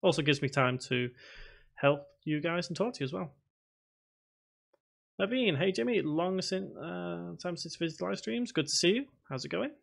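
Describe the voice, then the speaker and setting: A man's voice: high voice, animated, moderate pace, creaky. A man hosting a casual live stream, thinking aloud as he codes and reading chat questions aloud. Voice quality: self-described boring voice. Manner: talks to himself while typing, reads chat aloud.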